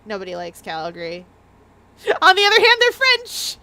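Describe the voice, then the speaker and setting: A woman's voice: sits high, wildly swooping pitch, moderate pace, sharp tone, no room sound, rough recording. A Canadian woman with a light Maritime Canadian accent, chatting casually and giggling as she livestreams a game. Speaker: game streamer.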